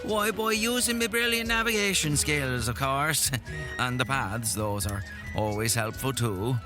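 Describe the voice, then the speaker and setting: An actor playing a folksy old village storyteller in an audio drama, boastful and earnest, savouring the tale theatrically. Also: male voice, medium pitch, a little slow, music in the background, natural voice. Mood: wistful, playful.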